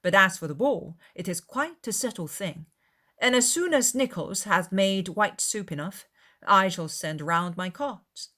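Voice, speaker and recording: A woman voicing a breezy, good-natured young gentleman with an emphatic manner in a dramatized classic novel. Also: medium pitch, animated, measured pace. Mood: cheerfully decided.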